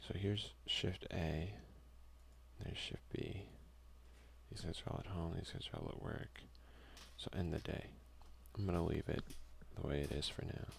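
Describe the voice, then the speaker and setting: A man giving soft, hushed ASMR commentary as he plays a video game. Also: sits low, normal speed, gravelly, a little hiss or hum. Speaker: let's play streamer.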